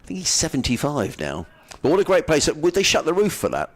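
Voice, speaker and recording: A man's voice: fairly high pitch, wildly swooping pitch, a little fast. A middle-aged man giving chatty cricket commentary, friendly and rambling, mixing ball-by-ball calls with asides. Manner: rambling, friendly, lots of fillers and restarts.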